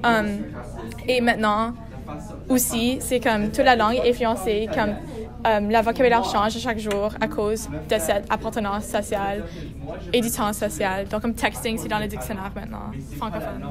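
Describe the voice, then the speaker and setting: A woman's voice: on the high side, level tone, normal speed, music in the background. Teen girl student saying "comme" a lot. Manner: fluent, peppered with "comme". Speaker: student in a class discussion. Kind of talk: classroom round-table discussion.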